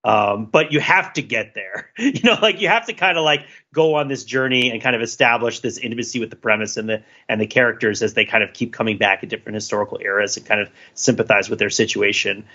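A man's voice: on the low side, fast talker, few pauses, pushed and bright, dull audio. A man co-hosting a podcast in casual discussion, talking in rambling runs with many restarts and fillers. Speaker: podcast co-host.

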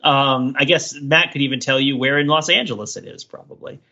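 A man co-hosting a podcast in casual chat, riffing excitedly and searching for words as he goes. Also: medium pitch, brisk, slight background noise. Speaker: podcast co-host. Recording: slightly dull, lo-fi audio.

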